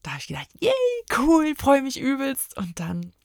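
A woman's voice: high-pitched, big pitch swings, moderate pace, gravelly, no room sound, clean studio sound. A woman speaking as a podcast guest in casual, informal conversation with slangy wording. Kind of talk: casual chat.

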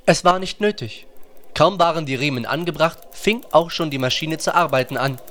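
Male narrator for a literary radio play, voice on the higher side, scripted delivery. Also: moderate pace, fairly bright tone, slight background noise.

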